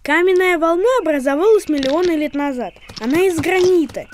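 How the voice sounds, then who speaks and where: A woman's voice: very high voice, fairly quick, a little hiss or hum. A woman playing a patient, knowledgeable child who explains things in a children's educational cartoon. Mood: patient, explanatory.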